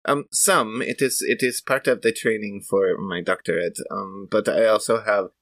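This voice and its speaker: A man's voice: lowish voice, moderate pace, a bit bright, no room sound. A man playing an earnest, reserved female scientist in a tabletop game, speaking formally with polite, hesitant precision. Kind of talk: improvised tabletop roleplay.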